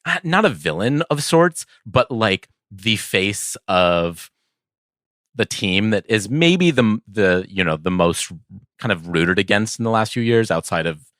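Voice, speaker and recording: A man's voice: lowish voice, expressive, a little slow, fairly bright tone, no echo, studio quality. A man co-hosting a podcast in casual sports chat, easygoing and conciliatory, hedging as he talks. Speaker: podcast co-host.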